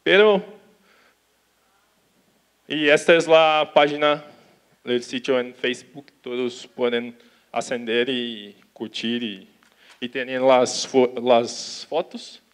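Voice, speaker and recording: A young man from Brazil with a Portuguese accent, giving a conference talk in halting Spanish on an auditorium stage, talking slowly with restarts. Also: mid-range voice, steady tone, clear, resonant tone. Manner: slow, careful, with restarts and Portuguese words. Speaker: conference speaker. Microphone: handheld mic.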